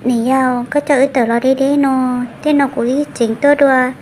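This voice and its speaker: A woman's voice: sits high, little pitch movement, normal speed, a little mellow, a little hiss or hum, natural voice. A woman voicing a female character in a family folk-tale cartoon. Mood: conversational.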